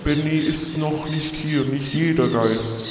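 A man voicing a timid, lonely ghost from a bottle in an improvised role-play, halting and plaintive, repeating words. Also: fairly high pitch, close to an everyday voice. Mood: anxious. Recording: echoey reverberant room with hiss.

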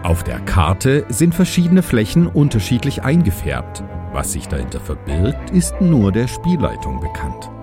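A man reading scripted narration as the narrator of a game story. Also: lowish voice. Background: prominent music.

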